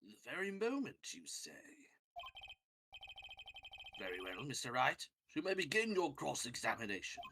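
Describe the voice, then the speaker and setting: A man voicing a stately courtroom judge, speaking slowly and solemnly. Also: medium pitch, huge pitch swings, pitched below the performer's own voice. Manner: slow, solemn. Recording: game music behind voice.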